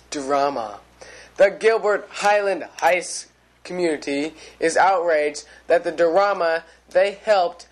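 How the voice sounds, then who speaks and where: A young man playing a co-anchor in an amateur mock newscast, reading quickly and sometimes stumbling over words. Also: on the high side.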